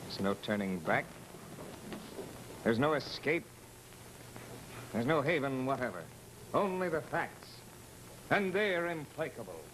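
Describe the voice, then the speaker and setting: An actor playing an earnest, melodramatic stage player in an old radio drama, with weighty delivery. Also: male voice, medium pitch, big pitch swings, a little slow, long pauses, noisy background. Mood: grave, intense. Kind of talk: 1940s radio drama acting. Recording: vintage radio recording, music behind.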